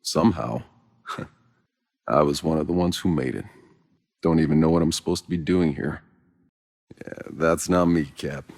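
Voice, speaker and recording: An actor playing a gruff, world-weary soldier in a video game, blunt and sardonic, with a gravelly voice. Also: male voice, a bass voice, a little mellow, big-room sound, heavily acted. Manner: blunt, sardonic, casual and profane. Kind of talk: video game character dialogue.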